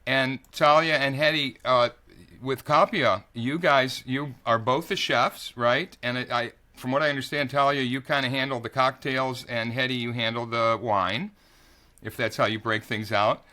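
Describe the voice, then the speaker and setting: An upbeat man hosts a conversational interview, his voice bright and ringing at an ordinary mid pitch. He leads in by reading his guests' introductions. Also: fairly even intonation, normal speed.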